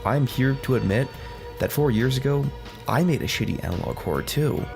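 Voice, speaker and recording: A man's voice: medium pitch, animated, brisk, creaky. A man giving casual, scripted video commentary with a blunt, sarcastic manner and frequent swearing. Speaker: video essay host. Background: background music.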